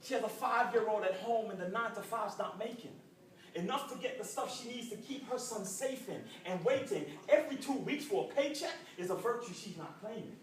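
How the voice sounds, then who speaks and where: Man from Jamaica, spoken word poet, high voice, rhythmic rhymes, echoey hall heard from afar. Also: a little hiss or hum. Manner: rhythmic rhyming delivery. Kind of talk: spoken word poetry performance. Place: indoor arts center hall. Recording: echoey room from far off.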